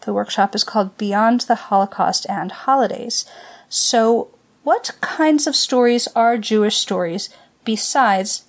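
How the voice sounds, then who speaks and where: A woman hosting a podcast panel, introducing the topic and posing questions in a measured way. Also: mid-range voice, creaky. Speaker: podcast host.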